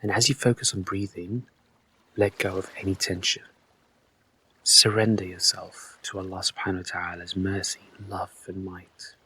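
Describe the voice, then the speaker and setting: A man leading a guided meditation with soft, breathy delivery, speaking gently and reassuringly to the listener in unhurried instructions. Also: low-pitched, little pitch movement, low-quality recording.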